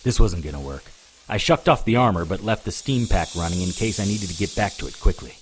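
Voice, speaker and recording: Determined witch hunter telling the story, lowish voice that moves around, faint hissy backdrop. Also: expressive, a little fast. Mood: tense, narrating. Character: determined, hard-boiled male witch hunter. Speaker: lead character and narrator. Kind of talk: first-person audio drama narration.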